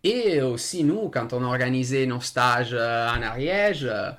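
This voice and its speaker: A man whose first language is Italian, talking in a radio discussion, slow and halting with many hesitations. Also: medium pitch, animated, a bit bright. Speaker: association member.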